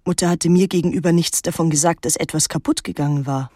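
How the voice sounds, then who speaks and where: Withdrawn teenage girl, radio drama narrator, introspective, measured, husky, lowish female voice. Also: fairly even intonation, warm tone, dry recording, clean studio sound. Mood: pensive. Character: wary, withdrawn teenage daughter narrating her island story.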